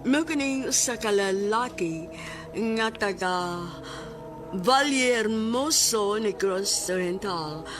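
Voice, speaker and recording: A woman narrating a radio drama in a slow, deliberate scripted style. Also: medium pitch, lively intonation. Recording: music behind the voice.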